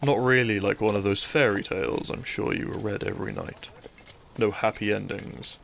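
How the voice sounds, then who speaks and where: Man acting a low, gravelly, bitter thief. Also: slight background noise. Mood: bitter, mocking. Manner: brooding, mocking politeness, deliberate dramatic pauses. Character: bitter young male thief, kidnapper, once a noble's childhood friend. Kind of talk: scripted audio roleplay, addressing the listener.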